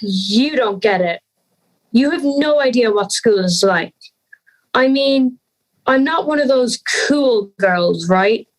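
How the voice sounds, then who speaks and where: A young woman plays an earnest schoolgirl in an audio drama. Her voice is high and very melodic, moving slowly through her lines with an anxious air. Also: animated, poor audio.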